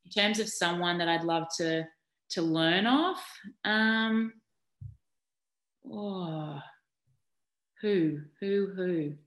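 Middle-aged Australian woman, low voice, chatty interviewee full of ums, echoey room. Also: extremely slow, pauses often. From Newcastle, New South Wales, Australia. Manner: chatty and animated, many ums and restarts. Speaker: interviewee, former basketball player. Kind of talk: casual interview answers.

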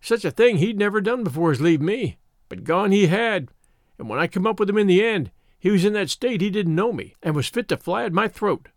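Man doing country shepherd, plain-spoken, cautioning, expressive intonation, storybook character. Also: sits high, a little fast, no echo, studio quality. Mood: earnest, troubled. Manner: rustic dialect phrasing, earnest warning.

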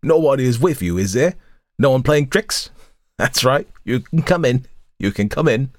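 A man's voice: medium pitch, lively intonation, moderate pace, no room sound, studio quality, pitched above the performer's own voice, close to an everyday voice. A man reading aloud, voicing a scarred, cunning old woman with a wheezing, wheedling voice. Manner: leering, wheedling, calls everyone dearie.

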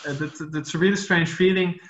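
A man from the Netherlands whose first language is Dutch, speaking English as a podcast guest and talking haltingly, with many fillers and restarts. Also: high voice, steady tone, a bit bright, echoey room. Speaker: author guest. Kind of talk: podcast interview.

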